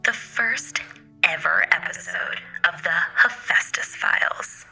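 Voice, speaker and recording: A woman's voice: lowish voice, wildly swooping pitch, slow pace, vocal fry, bright, forceful tone, slightly rough, whispered, faint music behind, heavily acted, low-quality recording. An actress playing an eager, polite, slightly hesitant female AI autopilot co-hosting a radio show in a space audio drama. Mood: eager, presenting. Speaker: co-host of an in-story radio show.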